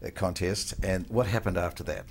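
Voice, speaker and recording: A woman hosting an interview, asking slow, halting questions with many fillers. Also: a bass voice, fairly even intonation, a little mellow, slight background noise. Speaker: show host, interviewer.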